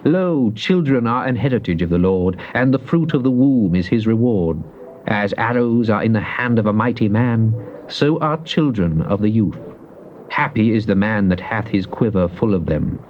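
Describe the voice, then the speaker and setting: A man giving a dramatized recitation of psalms, solemn and declamatory, with drawn-out emphasis. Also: on the low side, expressive. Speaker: scripture reader. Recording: muffled, noisy old cassette tape.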